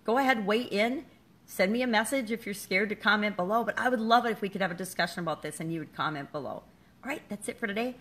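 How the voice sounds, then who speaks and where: A woman's voice: mid-range voice, quick pace, fairly bright tone. Candid middle-aged woman vlogging. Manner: candid, self-deprecating, reflective. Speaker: business coach and vlogger. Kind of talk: casual motivational talk to camera.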